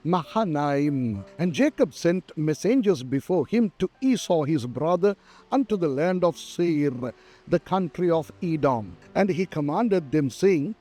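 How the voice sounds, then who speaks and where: A man with a high, soft-toned mellow voice reads scripture as a pastor. He takes his time, shaping each phrase in a solemn, measured way. Light music plays behind him. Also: lively intonation. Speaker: pastor reading scripture aloud. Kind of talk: solemn scripted Bible reading. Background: background music.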